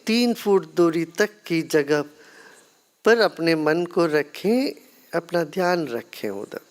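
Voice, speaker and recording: A meditation teacher with an Indian accent guiding a practice in a calm, unhurried, gently instructing way with long pauses. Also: medium pitch.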